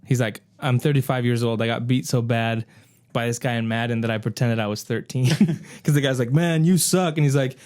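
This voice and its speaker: A man's voice: medium pitch, fairly even intonation, brisk, darkish tone. A man co-hosting a podcast, casually telling rambling anecdotes and quoting people as he goes. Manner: rambling anecdotes, acting out quotes. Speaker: podcast co-host. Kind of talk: casual chat.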